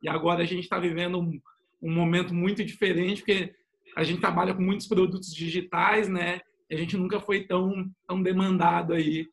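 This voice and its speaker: A man's voice: high voice, little pitch movement, brisk, a bit bright. A middle-aged Brazilian man introducing himself conversationally as a panel guest, in an informal manner with frequent tag questions. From São Paulo state, Brazil. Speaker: panel guest, business innovation professional.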